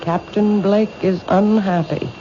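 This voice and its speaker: A woman playing a troubled but quietly stubborn bookstore owner in a 1970s radio drama. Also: medium pitch, fairly even intonation, a little slow, natural voice. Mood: apologetic, worried. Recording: noisy, dull 1970s radio recording.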